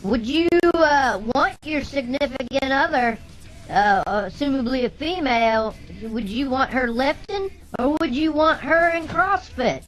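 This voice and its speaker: High pitch-shifted cheeky comic sidekick. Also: male voice, a little slow, fairly bright tone, faint music behind, poor audio. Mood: mock-serious. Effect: pitch shifter raising the voice. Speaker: co-host. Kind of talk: comedic talk show banter.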